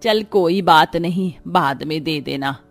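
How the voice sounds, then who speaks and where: A woman's voice: deep voice, slow pace, vocal fry, exaggerated voice. A domineering older mother-in-law acted by a woman from a cartoon household drama. She is greedy and plays favourites, speaking over faint music. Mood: matter-of-fact. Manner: coaxing to favourite, scolding to others. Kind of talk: cartoon family drama voice acting.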